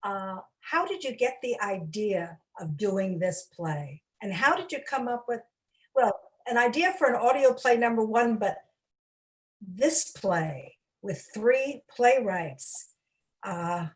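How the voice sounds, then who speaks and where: A woman putting a casual question to a group, speaking deliberately and with pauses. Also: medium pitch, lively intonation.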